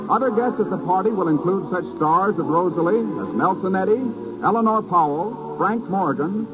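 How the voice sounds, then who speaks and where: An American man making formal, showy scripted announcements in 1930s radio style. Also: high-pitched. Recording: dull 1930s radio broadcast recording. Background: loud music.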